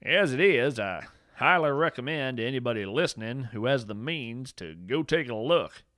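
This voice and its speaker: A man acts out a folksy field naturalist with a very lively, swooping delivery, recorded dry and close. He tells whimsical tales of strange creatures with earnest enthusiasm, as in a podcast monologue. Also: medium pitch, normal speed, dry recording, natural voice. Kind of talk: first-person storytelling, fiction podcast monologue.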